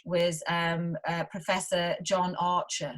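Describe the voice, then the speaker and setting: A young woman being interviewed as a guest researcher, talking animatedly, laughing often and acting out what others say. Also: lowish voice. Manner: animated, quick to laugh, acts out quotes. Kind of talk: casual interview chat.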